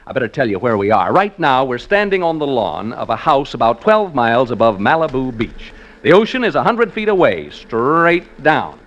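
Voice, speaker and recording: A man's voice: medium pitch, very animated, normal speed, a bit bright. A man acting a jokey, folksy American radio host turned ghost hunter, in 1940s radio drama style with theatrical emphasis. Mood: chatty, uneasy. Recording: noisy old radio show recording.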